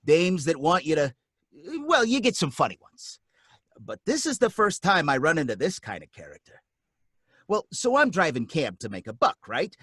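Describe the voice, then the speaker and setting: A man's voice: fairly high pitch, huge pitch swings, normal speed, fairly bright tone, slightly rough, dry recording, studio quality, pitched above the performer's own voice, heavily acted. A man playing a brash, world-weary working-class cab driver in a 1940s-style radio drama, with wry, slangy delivery. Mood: wry storytelling. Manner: streetwise, slangy, wry talk.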